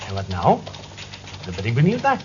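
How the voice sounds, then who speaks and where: An actor playing a nosy, teasing office colleague in a Dutch radio drama, bantering and prodding. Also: male voice, mid-range voice, wildly swooping pitch, a little fast. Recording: dull lo-fi old radio recording, clear noise.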